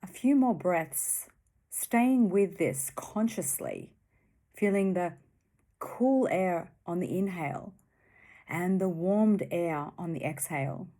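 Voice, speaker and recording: Very slow, low-pitched woman guiding meditation. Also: lively intonation. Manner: soothing, gentle, with long pauses between short phrases. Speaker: meditation guide. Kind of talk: guided meditation.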